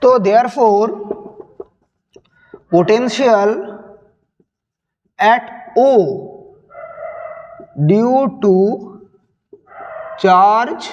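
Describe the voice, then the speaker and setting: A young male physics teacher with a sore, hoarse throat, slowly working through a sample paper and repeating questions to his students. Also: high-pitched, lo-fi sound. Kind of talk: solving a sample paper on screen. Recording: long reverb.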